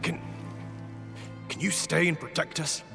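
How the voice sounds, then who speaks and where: An actor playing a weary, anxious male refugee settler in a video game, speaking earnestly and haltingly in rough, folksy phrases. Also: a little high. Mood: desperate pleading. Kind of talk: dramatic video game voice acting. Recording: long hall reverb, music behind. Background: game music.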